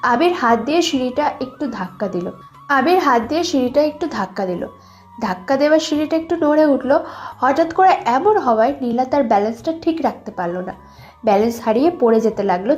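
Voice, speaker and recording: A woman narrates a scripted romantic story. Her voice is high, and soft music plays underneath as she tells it. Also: lively intonation, a little fast.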